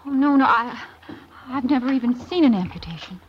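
An actress playing an anxious, earnest young nurse in an old radio drama. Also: female voice, high voice, expressive, a little fast, slight background noise. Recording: muffled old radio recording.

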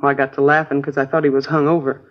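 A woman's voice: very deep voice, flat delivery, very fast. An actress playing a chatty, naive American girlfriend in a 1950s radio crime drama, casual, rambling and a little vague. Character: chatty, naive, easygoing working-class girlfriend of a crook. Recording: dull old radio broadcast recording.

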